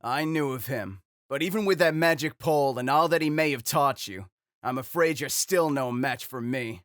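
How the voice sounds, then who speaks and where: A man's voice: medium pitch, normal speed, fairly bright tone, no echo, clean studio sound, close to an everyday voice. A man voicing a cocky young bandit in melodramatic old anime dub style. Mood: threatening.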